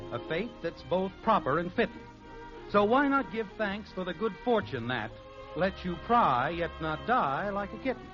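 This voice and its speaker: A man's voice: high voice, highs cut off. A man with an American accent announcing in a scripted 1950s radio style on an old broadcast recording.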